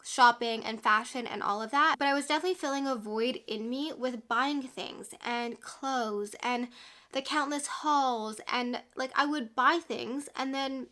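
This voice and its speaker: Woman, late 20s, vlogger, candid casual talk, bit stuffy-nosed, bright tone. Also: high voice, a little slow. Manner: chatty and candid, many "like" fillers. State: slightly congested from a cold. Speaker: YouTube vlogger. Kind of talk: casual sit-down chat to camera.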